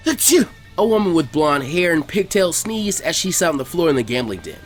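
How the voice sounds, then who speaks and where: A man reading a scripted story aloud as a narrator, in an animated way. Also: fairly high pitch, a little fast, soft background music. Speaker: fan fiction reader.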